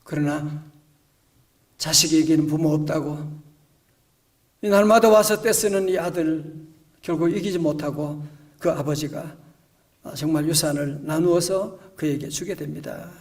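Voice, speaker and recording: A male pastor preaching a storytelling sermon in a church, moving between hushed and emphatic delivery. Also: a little high, unhurried, pauses often, distant mic. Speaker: senior pastor. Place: church sanctuary.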